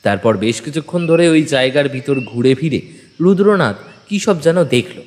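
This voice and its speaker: A man's voice: mid-range voice, lively intonation, moderate pace, darkish tone, big-room sound, a little hiss or hum. A man narrating a scripted detective story in the first person, in a dramatic storytelling style.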